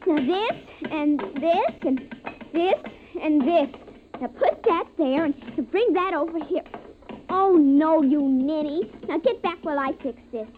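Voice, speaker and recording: A woman's voice: high-pitched, lively intonation, unhurried, a little hiss or hum. An actress playing an eager, bossy eight-year-old girl in a 1950s radio drama. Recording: tinny old radio recording.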